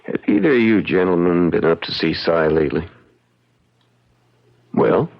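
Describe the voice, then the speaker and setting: Through a dull old radio recording comes a young frontier lawyer, folksy and shrewd. His low voice swings widely in pitch as he builds a case with deliberate, unhurried logic. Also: lively intonation, vocal fry. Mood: pointed, accusing. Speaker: actor playing a lawyer. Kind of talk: old-time radio mystery acting.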